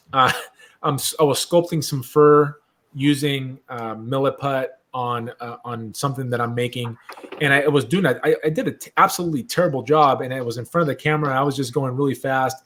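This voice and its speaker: Excitable man hosting a casual chat. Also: medium pitch. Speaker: host. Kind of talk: casual chat about custom action figures.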